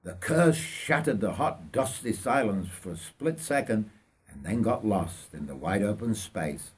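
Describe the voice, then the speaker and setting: Older male narrator from northern England: unhurried, husky, animated intonation for dramatic autobiographical storytelling. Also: lowish voice. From North Yorkshire, England. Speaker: writer reading own story.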